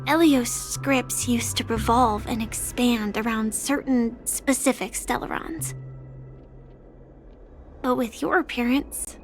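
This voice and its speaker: A gentle young heroine of a video game, her voice high and tender. She takes her time over each phrase, wistful and earnest, with a soft, measured delivery. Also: soft background music. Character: gentle, wistful young girl who pilots a war mech. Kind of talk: video game dialogue acting.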